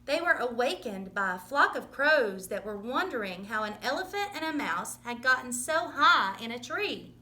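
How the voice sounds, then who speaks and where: A woman reading a children's story aloud in an expressive, dramatic storytelling manner. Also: on the high side, normal speed, few pauses. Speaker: storybook reader.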